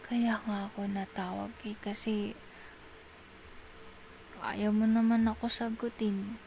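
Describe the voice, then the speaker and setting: Slow, coaxing Filipino girlfriend, acted by a woman. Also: mid-range voice, little pitch movement, creaky, warm tone, a little hiss or hum. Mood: puzzled, pleading. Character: clingy, coaxing girlfriend soothing a jealous partner. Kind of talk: intimate ASMR girlfriend roleplay.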